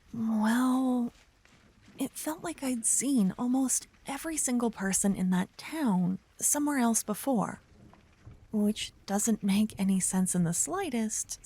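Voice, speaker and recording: A woman playing an earnest, chatty young paladin girl in an ASMR fantasy roleplay, with soft, expressive, dramatic delivery. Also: on the high side, husky, heavily acted.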